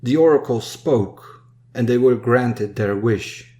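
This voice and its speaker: A man reading a dark narrative poem in a solemn, measured storytelling manner. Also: medium pitch. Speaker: poem reader. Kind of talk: solemn scripted poetry recitation.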